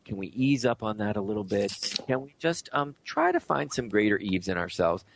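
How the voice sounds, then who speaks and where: Gravelly-voiced man hosting a talk show. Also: fairly low pitch, sing-song, moderate pace, a little hiss or hum. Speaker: radio show host. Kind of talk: conversational spiritual talk show. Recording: dull lo-fi audio.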